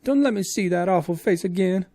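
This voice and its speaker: A man voicing a terrified, sobbing woman servant in a shrill, wailing falsetto. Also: lively intonation, brisk, gravelly, dark tone, exaggerated voice. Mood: wailing terror.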